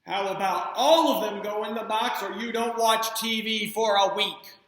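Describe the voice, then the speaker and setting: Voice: medium pitch, animated, takes it slowly, bright, forceful tone, heavy reverb, low-quality recording. A storyteller voicing an exasperated mother haggling with her son over which toys go.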